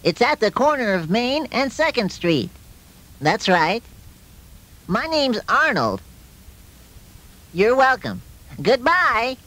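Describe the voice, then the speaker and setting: A man's voice: extremely high pitch, sharp tone, heavily acted. A man voicing a cheerful, earnest puppet good citizen who addresses children in an exaggerated sing-song way in a fire safety puppet show.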